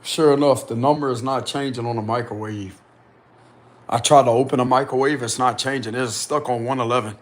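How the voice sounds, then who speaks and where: A man vlogging casually and insistently from a small rented room, with a deep, loud voice. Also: brisk. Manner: excited, insistent, slangy. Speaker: spiritual vlogger. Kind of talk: casual vlog to camera.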